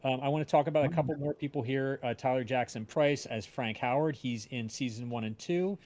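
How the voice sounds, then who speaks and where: A man's voice: medium pitch, darkish tone. A man hosting a casual livestream, talking in a rambling, hesitant way. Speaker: audio drama creator hosting livestream.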